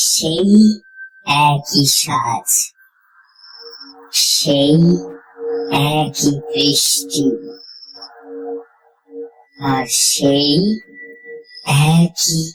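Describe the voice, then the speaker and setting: Slow, low-voiced woman narrating horror fiction. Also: low-quality recording. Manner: slow, deliberate delivery with suspenseful pauses. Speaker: audio story narrator. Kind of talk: scripted horror story narration, dramatic first-person reading.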